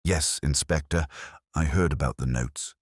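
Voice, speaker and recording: A man's voice: rumbling low, measured pace, breathy edge, no echo, clean studio sound, exaggerated voice. Nervous, evasive treasurer, acted by a man. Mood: agitated, evasive. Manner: agitated, over-explaining. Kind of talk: audiobook mystery character voice.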